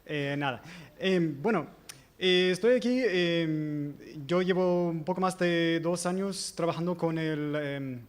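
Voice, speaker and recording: A man's voice: a little high, slow, clear, resonant tone. American man presenting hesitantly in Spanish. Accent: American English accent in Spanish. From United States. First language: English. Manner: hesitant, many fillers and self-corrections. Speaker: invited presenter. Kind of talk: conference presentation. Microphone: microphone.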